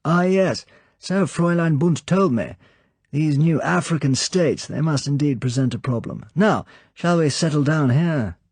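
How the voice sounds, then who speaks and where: A man voicing a suave, villainous foreign count in an audiobook, with a light, animated voice and effusively courteous manner. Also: fairly high pitch, measured pace, slightly rough, no echo, voice pushed up. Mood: effusive, genial.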